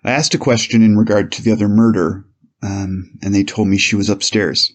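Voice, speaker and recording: A man playing an 1890s police officer on the witness stand, giving measured, formal, slightly hesitant testimony in a dramatized courtroom reading. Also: fairly low pitch, level tone, natural voice.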